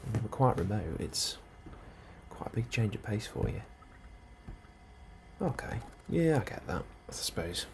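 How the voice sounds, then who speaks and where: A man doing a soft, breathy ASMR role-play as an unhurried, politely understated officer interviewing a newcomer. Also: fairly low pitch. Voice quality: soft, breathy, often near whisper. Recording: steady ambient noise behind the voice. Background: computer and ambience sounds.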